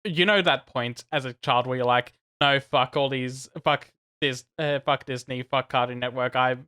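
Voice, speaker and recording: A man co-hosting a casual gaming podcast, animated and chatty, laughing between points and restarting sentences often. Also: mid-range voice, normal speed, clear, resonant tone. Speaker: podcast co-host.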